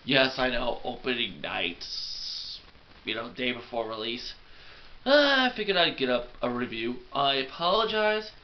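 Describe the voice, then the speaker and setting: An excitable young man with a twang talks through a casual film review, rambling and self-deprecating, his voice a bit stuffy from a cold. He sits in a small bedroom, picked up by a camera mic that sounds slightly dull and lo-fi. His pitch sits in a middle range. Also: unhurried. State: congested with a cold. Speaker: movie review vlogger.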